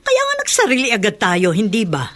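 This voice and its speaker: A woman's voice: medium pitch, wildly swooping pitch, a little fast, fairly bright tone. Radio drama mother, Filipino, middle-aged, opinionated and talkative. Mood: fussing, opinionated. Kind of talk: Tagalog radio drama acting.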